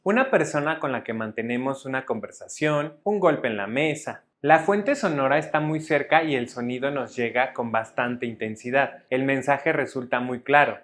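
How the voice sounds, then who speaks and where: Male narrator for educational audio. Fairly high pitch, formal and didactic, reads a structured script. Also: a bit bright. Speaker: instructor narrator.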